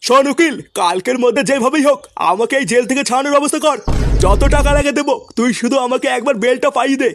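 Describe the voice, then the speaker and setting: A man's voice: extremely high pitch, fast talker, music in the background. A man voicing a foul-mouthed, boastful, hot-tempered thug in a comic cartoon dub, belligerent and threatening. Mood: angry, demanding.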